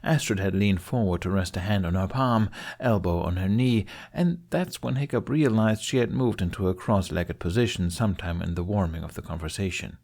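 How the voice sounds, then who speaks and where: A man's voice: low-pitched, fairly quick, hardly any pauses, a little mellow. A man reading scripted fiction aloud as an audiobook narrator.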